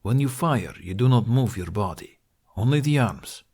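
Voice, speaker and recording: A man voicing a stern, assured horseman mentor in an audiobook, giving deliberate, clipped instructions in formal phrasing. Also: medium pitch, lively intonation, darkish tone, slightly rough. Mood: commanding. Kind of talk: audiobook character acting.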